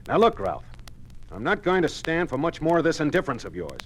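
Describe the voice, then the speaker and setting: An actor playing an embittered middle-aged oil company boss with an American accent, in 1950s radio drama style. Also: male voice, fairly high pitch, wildly swooping pitch, a little fast. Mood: angry. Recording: noisy old 1950s radio recording.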